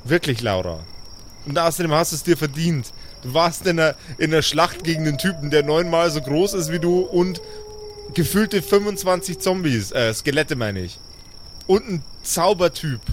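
A man playing an exasperated but caring adventurer in an improvised tabletop audio play, insistent and lecturing in a halting way. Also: on the high side, lively intonation, slight background noise, natural voice. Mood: lecturing, pleading. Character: exasperated, caring male adventurer, pleading for reason.

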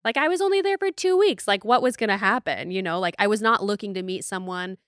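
A young American woman hosting a podcast, chatting and telling personal stories in an informal, animated way. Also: mid-range voice, quick pace, no room sound, clean studio sound. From United States. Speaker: podcast host. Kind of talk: casual chat and personal storytelling.

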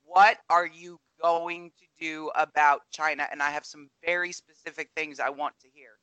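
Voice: medium pitch, fairly even intonation, moderate pace, pushed and bright, smooth, no echo, low-quality recording. A podcast co-host in casual political chat, speaking bluntly and emphatically. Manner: blunt, emphatic lists of claims.

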